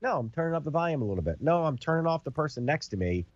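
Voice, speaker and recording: A man's voice: a little high, big pitch swings, racing, no echo, muffled recording. A man with a cold, sounding congested, hosting a casual product demo and explaining with everyday comparisons. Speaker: TV host demonstrating a product.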